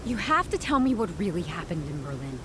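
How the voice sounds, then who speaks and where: An actress playing a persistent, wry young amateur investigator in an audio drama, insistent and probing. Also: female voice, lowish voice, sing-song, brisk, noisy background. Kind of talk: scripted audio drama dialogue. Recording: loud storm ambience behind voice.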